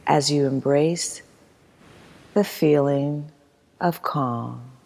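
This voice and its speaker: A woman leading a guided meditation as instructor, reading in a soothing, measured manner with long pauses. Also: low-pitched, creaky, darkish tone. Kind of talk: guided meditation, scripted narration. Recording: long hall-like reverb.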